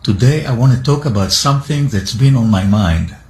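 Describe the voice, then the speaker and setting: A man's voice: mid-range voice, expressive, a little fast, a little mellow. Man from Israel, scripted monologue, earnest, echoey room. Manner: earnest, explanatory.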